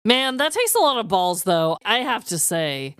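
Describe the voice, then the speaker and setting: A woman's voice: mid-range voice, sing-song, normal speed, fairly bright tone, clear, resonant tone, no echo, studio quality. An American woman co-hosting a comedy podcast, chatting casually with playful banter. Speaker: podcast co-host.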